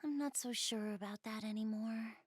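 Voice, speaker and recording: A woman's voice: fairly high pitch, moderate pace, no room sound, studio quality, lightly acted. A woman voicing a shy, bookish young goddess in a video game, speaking hesitantly and earnestly. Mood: dejected, pensive. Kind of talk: video game character voice acting, intimate one-to-one dialogue.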